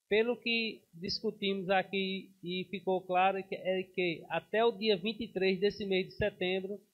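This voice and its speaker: A councilman in his thirties speaks formally from a podium microphone in a council chamber, pausing and restarting now and then. His voice sits high and stays flat in tone. The broadcast sound is dull and muffled. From Brazil. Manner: formal, steady, with frequent hesitations. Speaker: city councilman. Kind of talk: formal speech at a council session.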